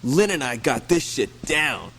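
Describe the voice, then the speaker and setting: An actor playing an excitable, flaky young car thief in a video game, talking in street slang. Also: male voice, medium pitch, measured pace, creaky, slightly rough, exaggerated voice. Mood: cocky. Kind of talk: video game cutscene acting.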